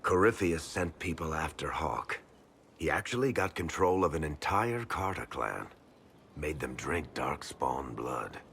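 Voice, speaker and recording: A man's voice: very deep voice, expressive, unhurried, gravelly, fairly bright tone, slight background noise, full character voice. An actor playing a wry, world-weary man in a fantasy game, telling things in a casual, frank and emphatic way. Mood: uneasy, wry. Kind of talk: video game voice acting.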